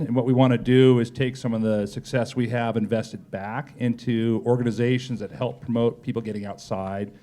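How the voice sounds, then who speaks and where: A man's voice: fairly low pitch, mellow. A man speaking as a company executive in a live interview, conversational and sprinkled with "kinda" and "you know". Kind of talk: live panel interview answers. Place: on stage at a live pub talk event.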